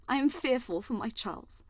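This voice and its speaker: An actress in a radio play playing a dead wife who narrates sorrowfully and reflectively from beyond the grave. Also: female voice, on the high side, no echo, clean studio sound.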